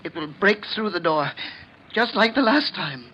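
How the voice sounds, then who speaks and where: An actor playing a superstitious, frightened servant in a 1940s radio mystery, deferential, hesitant and agitated. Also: male voice, high voice, animated, moderate pace, bright, forceful tone, muffled recording. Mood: anxious. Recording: noisy 1940s radio recording.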